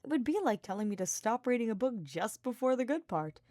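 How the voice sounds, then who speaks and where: A woman's voice: a little high, sing-song, fairly quick, dark tone, no echo, studio quality, pitched above the performer's own voice. A woman voicing a cocky, teasing young male knight in an audiobook, casual and slangy. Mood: playful teasing. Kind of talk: audiobook character voice.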